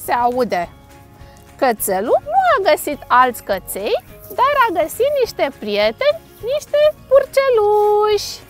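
A middle-aged woman hosts an outdoor storytime. Her voice sits high and swings widely in pitch as she reads simply and playfully for small children, with some music in the recording. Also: huge pitch swings. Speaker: storytime host. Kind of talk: animated children's picture-book read-aloud. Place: outdoors on a grassy lakeside bank.

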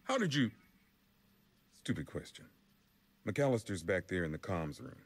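An actor playing a weary, gruff, plainspoken policeman in a video game. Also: fairly low pitch, very animated, measured pace, heavily acted. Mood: weary, grateful. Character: weary, gruff male police officer, respectful ally. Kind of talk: video game drama acting.

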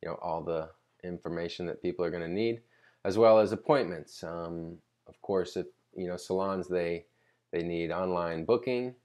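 A man giving a casual, unscripted screen-recording tutorial, explaining conversationally and pausing mid-sentence to think. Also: low voice, darkish tone. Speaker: tutorial presenter.

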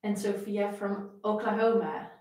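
A woman's voice: medium pitch, level tone, measured pace, darkish tone, distant mic. A young Dutch-accented woman teaching violin on a live stream, chatty and friendly as she welcomes viewers by name. Her voice sits mid-range and sounds set back from the camera in a very reverberant room. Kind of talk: live-stream lesson chat. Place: home room with framed pictures, indoors.

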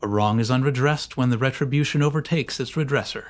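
A man narrating a gothic horror story in a dramatic, deliberate and menacing scripted style. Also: mid-range voice. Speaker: audiobook narrator. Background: music.

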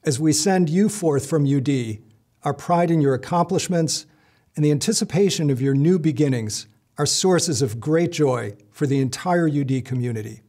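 A middle-aged man delivering a formal, scripted commencement address from a lectern in a measured, solemn way. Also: fairly high pitch, dark tone. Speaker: university leader giving commencement address. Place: indoor stage with curtain backdrop.

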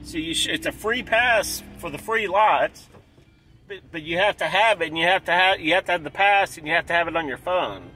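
A man in his forties vlogging from inside a car, talking excitedly in a casual, repetitive way and clearing his throat often. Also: sits high, fairly quick, faint music behind, poor audio. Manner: hyped, repeats himself, clears throat often. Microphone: dashboard camera close up.

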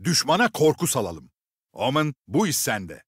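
Game role: gruff male squad leader, seasoned and commanding, very animated delivery, close dry recording. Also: medium pitch, moderate pace, no echo. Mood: alert, commanding. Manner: authoritative, clipped commands with dry swagger. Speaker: game character voice actor. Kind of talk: video game voice lines, short military barks.

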